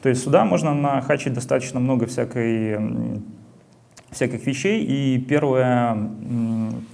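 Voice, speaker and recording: A man's voice: mid-range voice, unhurried, gravelly, darkish tone. A young man giving a casual talk with a live demo at a meetup, speaking into a handheld mic and rambling with many fillers and restarts. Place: meetup hall.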